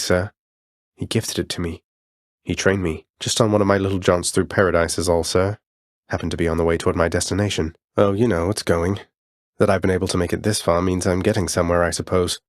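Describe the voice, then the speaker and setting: Young underworld prince, video game hero, low voice, polite, wry, determined, dry studio sound. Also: male voice, no echo. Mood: sincere, encouraging. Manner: courteous, dry wit, often says sir. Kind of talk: scripted video game voice lines.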